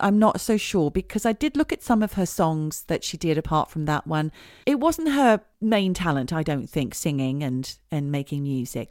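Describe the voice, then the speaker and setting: A woman's voice: fairly low pitch, a little mellow, dry recording, studio quality. A middle-aged woman co-hosting a casual comedy podcast, telling stories in a chatty way. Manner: chatty storyteller, sings snatches of songs. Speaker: podcast co-host.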